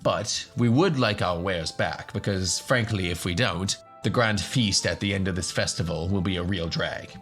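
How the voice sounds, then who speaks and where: A man's voice: low-pitched, moderate pace, hardly any pauses, a bit bright, faint music behind. A man playing a courteous professor in a fantasy radio story, polite, slightly hesitant and explanatory. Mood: earnest, explanatory. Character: courteous male professor hosting a band of adventurers.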